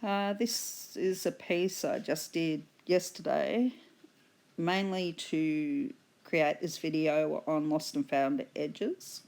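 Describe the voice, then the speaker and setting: A woman with an Australian accent, hoarse from a cold, patiently explaining her painting steps in a tutorial voice-over. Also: sits low, fairly even intonation, darkish tone. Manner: slow, patient explaining with fillers. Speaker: art instructor.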